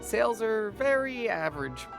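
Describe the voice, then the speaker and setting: A woman's voice: fairly high pitch, big pitch swings, normal speed, vocal fry, music in the background. A woman voicing a humble, polite drink seller in a video game, nervously deferential and a little hesitant. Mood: awkward, deferential.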